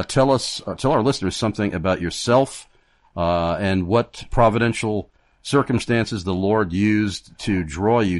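A man hosting a radio interview, speaking formally and haltingly with many fillers. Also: lowish voice, no room sound. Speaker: radio show host.

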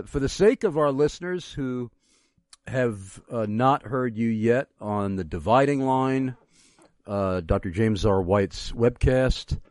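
A man hosting a conversational radio interview in an effusive, wordy manner, halting often for drawn-out asides. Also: on the low side, darkish tone, no room sound, clean studio sound. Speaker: radio host.

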